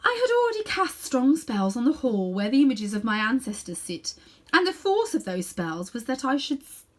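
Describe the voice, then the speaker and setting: A woman's voice: sits high, voice pushed up. A woman reading a storybook as an imperious, pompous evil witch queen who commands with grand contempt. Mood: grand, cold.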